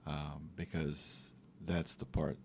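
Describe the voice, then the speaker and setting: A man co-hosting a podcast, talking in a relaxed, reflective way about faith and daily life, sometimes acting out little quotes. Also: very deep voice, slow, a little hiss or hum. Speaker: podcast co-host. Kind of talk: casual faith talk.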